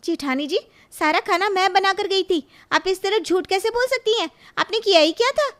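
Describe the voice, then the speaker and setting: Woman acting a high-voiced, sharp young daughter-in-law. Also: normal speed. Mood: indignant. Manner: assertive, accusing. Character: sharp, indignant younger daughter-in-law who fights back. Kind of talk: Hindi moral cartoon story acting.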